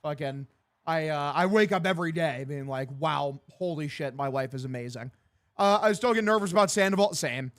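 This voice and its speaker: A man's voice: on the high side, normal speed. A man in his twenties livestreaming casual baseball play-by-play and chat, talking in a slangy, profane, bro-like way. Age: 20s. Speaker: sports streamer calling a baseball game and answering chat.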